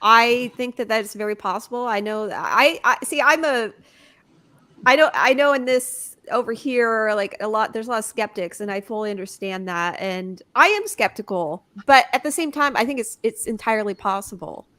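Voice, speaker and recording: High-pitched halting woman. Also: expressive, bright, forceful tone. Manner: halting, laughs often. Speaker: panel guest. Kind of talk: casual panel chat.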